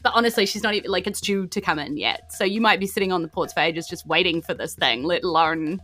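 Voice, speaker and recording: A woman's voice: on the low side, fast, pushed and bright. A woman with a New Zealand accent narrating a tabletop game as game master, chatty and informal, putting questions to the players.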